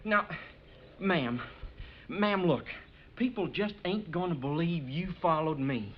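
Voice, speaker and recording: An actor playing a reluctant young cowhand in an old radio western, with a folksy American cowboy drawl. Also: male voice, on the high side, expressive, slow pace. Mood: flustered, exasperated. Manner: folksy, exasperated. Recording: muffled, hissy vintage radio recording.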